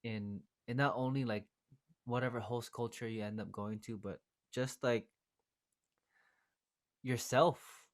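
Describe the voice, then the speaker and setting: A man's voice: mid-range voice, fairly even intonation, measured pace, pauses often. A man hosting a podcast interview, easygoing and thinking aloud with plenty of fillers. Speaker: podcast host. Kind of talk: casual interview chat.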